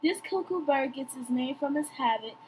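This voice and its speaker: A woman's voice: sits high, lively intonation, moderate pace, darkish tone, poor audio. A woman reading a short nature fact sheet aloud for children. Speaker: reader of animal fact segment. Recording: noticeable background noise.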